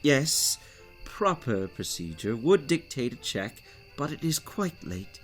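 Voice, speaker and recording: An actor playing an officious, old-fashioned gentleman director in an audio drama, formal, genteel and pompous. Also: mid-range voice, very animated, slow pace, faint music behind. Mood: smooth, courtly. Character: courtly, officious old-fashioned male director, easily exasperated.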